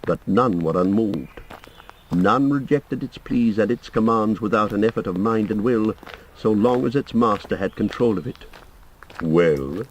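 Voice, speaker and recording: A man narrates literary fiction as an audiobook reader, his voice fairly low. The delivery is measured and formal, with faint noise on the recording. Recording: noisy audiobook playback.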